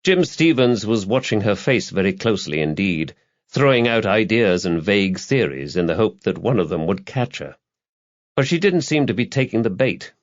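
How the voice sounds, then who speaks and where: A man reading a crime novel as an audiobook narrator, with measured, dramatic storytelling. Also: lowish voice, very animated, muffled recording.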